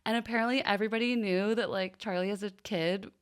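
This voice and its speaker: A woman's voice: mid-range voice, fairly even intonation, fast talker, clean tone. A woman co-hosting a podcast in casual chat, telling anecdotes in an informal way with lots of filler "like". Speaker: podcast co-host.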